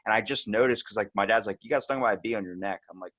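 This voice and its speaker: A man with a Baltimore accent hosting a podcast, talking casually in a joking, teasing, deadpan way. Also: on the low side, very fast. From Baltimore, USA. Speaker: podcast host. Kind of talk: casual chat.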